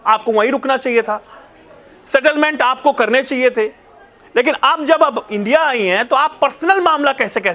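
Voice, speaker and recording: A man speaking as a news reporter, recounting events to viewers in an insistent, repetitive way with halting self-corrections. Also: extremely high pitch, pushed and bright.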